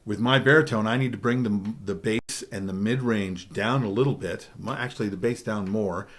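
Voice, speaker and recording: Man with a big baritone voice. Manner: emphatic, frequent "okay?" check-ins. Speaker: DJ trainer. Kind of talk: spoken presentation.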